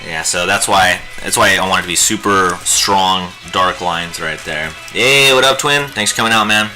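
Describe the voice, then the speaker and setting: A man's voice: deep voice, moderate pace, sharp tone, soft background music, poor audio. A young man hosting a live painting stream, chatting in a rambling, jokey way while he works. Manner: rambling and jokey, lots of fillers. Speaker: livestream host teaching digital painting.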